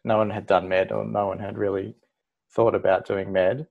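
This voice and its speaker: Australian man in his thirties, low-pitched voice, easygoing interview guest who meanders and restarts. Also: moderate pace, gravelly, phone-quality sound. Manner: relaxed, rambling, restarts and "like" fillers. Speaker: guest, ophthalmology registrar. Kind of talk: casual interview chat.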